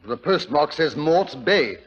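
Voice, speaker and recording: Male, British: hearty, bumbling, good-natured sidekick for 1940s radio mystery. Highish, animated, dull lo-fi sound. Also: takes it slowly. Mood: eager, curious. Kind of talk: 1940s radio drama acting. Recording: muffled 1940s radio recording.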